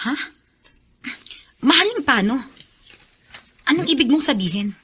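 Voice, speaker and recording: A woman playing a scheming, spoiled young heiress in a Filipino radio drama, with a haughty, expressive delivery and a Filipino accent. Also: sits high, measured pace, slight background noise, full character voice. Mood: resentful, scheming. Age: young adult. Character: scheming spoiled young hacienda heiress, resentful and falsely sweet.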